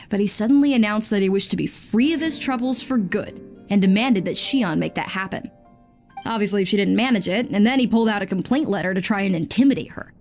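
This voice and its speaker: A woman's voice: mid-range voice, a little mellow, natural voice. A woman voicing a young, lazy, blunt bathhouse worker over faint game music. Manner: blunt, grumbly.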